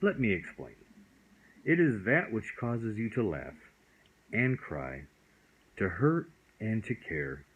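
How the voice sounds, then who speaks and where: A cheerful magic dragon from a bedtime story, acted out by a man. The voice is husky and swings freely up and down. It chatters with grand whimsy and kindly reassurance. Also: lowish voice, big pitch swings, takes it slowly, long pauses, heavily acted, low-quality recording. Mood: quiet, reassuring.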